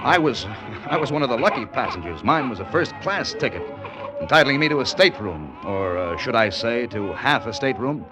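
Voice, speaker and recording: An actor playing a wry, world-weary American newspaper correspondent in a 1940s-style radio drama, with a casual hard-boiled manner. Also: male voice, mid-range voice, sing-song, fairly quick, close to an everyday voice. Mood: sardonic narrating. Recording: old radio recording, music behind.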